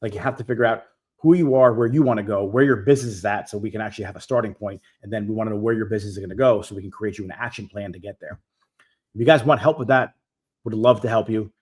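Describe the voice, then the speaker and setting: A man's voice: fairly low pitch, quick pace, a little mellow, slightly rough. A man in his forties hosting a live stream Q&A, giving business coaching in a direct, emphatic way that repeats key points. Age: 40s. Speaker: host.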